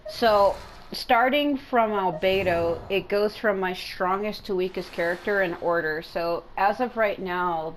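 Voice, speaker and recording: A woman with a congested, mucusy throat doing casual, sweary game commentary, thinking out loud. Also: on the low side, slight background noise, rough recording. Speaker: gaming video host. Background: music.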